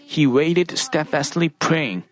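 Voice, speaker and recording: A preacher delivering a measured sermon. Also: mid-range voice. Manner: measured, deliberate delivery with frequent self-corrections.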